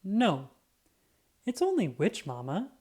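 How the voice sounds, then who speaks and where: A woman's voice: medium pitch, huge pitch swings, slow pace, mellow, slightly rough, pitched above the performer's own voice, full character voice. A woman voicing an eager, bossy but caring young girl in a storybook, coaxing and exclaiming. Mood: reassuring. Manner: coaxing, exclaiming, sometimes whispering.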